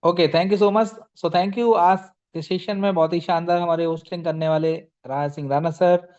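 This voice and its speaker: A man's voice: sits high, fairly even intonation, normal speed, darkish tone, clean tone. A man hosting an online sales meeting, rousing and exhorting his team, mixing English phrases into his talk, on dull lo-fi call audio.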